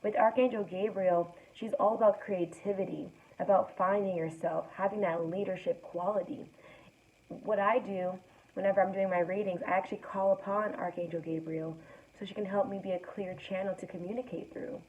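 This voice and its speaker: Young woman, raspy morning voice, soothing tarot reader, tinny phone-like sound, faint hiss. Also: medium pitch, moderate pace. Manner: relaxed, soothing, guides breathing. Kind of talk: casual spiritual reading to camera. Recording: tinny distant mic with faint noise.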